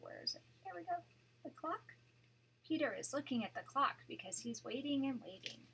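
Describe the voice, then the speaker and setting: An older woman, a grandmother, reading a children's story aloud to her grandchild, animated and pausing to point out the pictures. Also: high voice, a little hiss or hum, rough recording.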